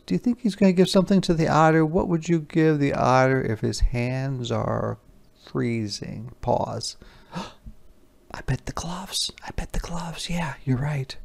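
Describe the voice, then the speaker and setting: Creaky, slow-paced children's read-aloud teacher. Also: medium pitch. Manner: expressive, explaining and questioning as to young children. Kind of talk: interactive children's story narration with casual asides.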